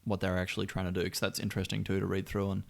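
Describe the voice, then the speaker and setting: Male podcast co-host, low gravelly voice, wry, sweary, informal banter. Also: completely flat delivery, very fast. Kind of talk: casual chat.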